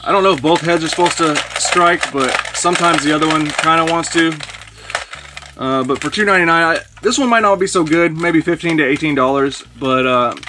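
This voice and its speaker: A man in his forties casually talking through thrifted toys in a small shelf-lined room, relaxed and rambling with many fillers. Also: a little high, brisk, background noise. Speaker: toy reseller vlogger. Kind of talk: casual haul video. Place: small indoor toy room lined with shelves.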